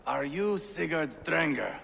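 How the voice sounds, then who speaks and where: A man's voice: on the high side, expressive, measured pace, vocal fry, fairly bright tone, slight background noise, heavily acted. An actor playing a savage, mocking Viking warrior in a video game, menacing and foul-mouthed in his taunts. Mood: menacing, taunting. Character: savage, mocking male Viking warrior who relishes cruelty. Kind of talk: video game cutscene acting.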